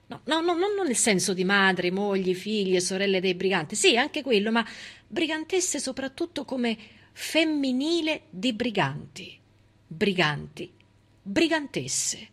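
Bright, high-voiced woman in theatrical monologue. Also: slightly rough. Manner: dramatic, emphatic, with deliberate pauses and repetitions. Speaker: stage actress and narrator. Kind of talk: theatrical monologue, civic storytelling.

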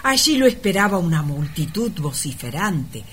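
A woman's voice: fairly low pitch, sing-song, normal speed. A woman giving dramatic scripted narration for a radio melodrama parody. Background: music.

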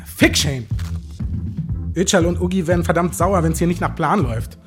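An actor playing a coarse, pushy small-time crook in an audio drama. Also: male voice, a little high, moderate pace, lightly acted. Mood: impatient, threatening. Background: music.

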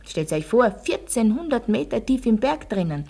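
A woman's voice: a little high, very animated, normal speed, creaky, mellow, exaggerated voice. A woman playing an Austrian village schoolteacher in a radio play, quizzing her pupils and telling them a heroic tale with didactic emphasis. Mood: dramatic, suspenseful. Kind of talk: radio drama, classroom storytelling.

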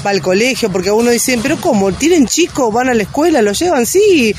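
A woman's voice: fairly high pitch, expressive, a little fast, a little mellow, a little hiss or hum. Chatty woman, filler-heavy. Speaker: circus performer interviewee. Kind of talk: casual interview.

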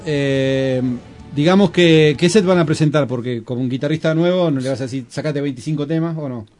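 A man's voice: fairly high pitch, fairly quick, darkish tone, soft background music. A man with a Buenos Aires accent hosting a casual interview, talking in a colloquial, joking way with many fillers. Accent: Buenos Aires Spanish. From Argentina. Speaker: radio show host.

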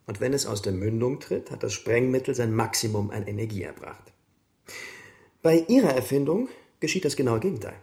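An actor playing a brisk, condescending army captain who speaks with didactic authority in a radio drama. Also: male voice, mid-range voice, animated, vocal fry, a little mellow. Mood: stern lecturing.

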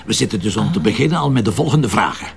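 A lively, jokey police commissioner. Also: male voice, fairly low pitch, quick pace, vocal fry, slightly rough, exaggerated voice. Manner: playful, mock-theatrical. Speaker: police commissioner in a radio play.

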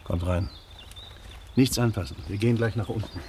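An actor playing a burly older burglar in a radio drama, matter-of-fact and commanding, giving terse instructions. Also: male voice, lowish voice, moderate pace, a little hiss or hum, natural voice. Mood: calm, businesslike.

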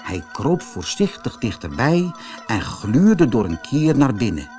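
Male audiobook narrator for kids' tales: expressive, highly varied intonation, light musical backing. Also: measured pace, soft background music. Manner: expressive, dramatised reading. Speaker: storyteller. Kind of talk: scripted children's audiobook narration.

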